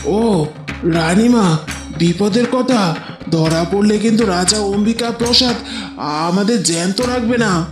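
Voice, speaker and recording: Man voicing a fretful high-pitched old witch. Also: steady tone, moderate pace, lightly acted. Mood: fearful warning. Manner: fearful, warning. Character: timid, fretful old witch, servant of the queen. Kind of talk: cartoon drama acting. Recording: roomy echo, faint music behind.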